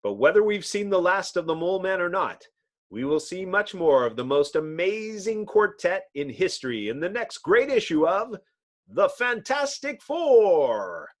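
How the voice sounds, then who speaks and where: A man's voice: high-pitched, very animated, measured pace. A man narrating a comic book as a dramatic reading, in a theatrical, bombastic manner. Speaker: narrator, host.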